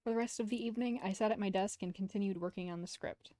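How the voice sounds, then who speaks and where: A woman with a dark, mellow voice at a middle pitch, streaming a visual novel read-aloud. She narrates and slips into casual asides, recorded close and very dry. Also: fast talker, dry recording.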